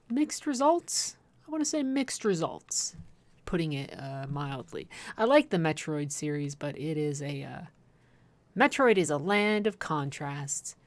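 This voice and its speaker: A woman doing casual livestream game commentary, chatting informally with viewers and rambling into playful asides. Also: low voice. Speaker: livestream host playing a video game.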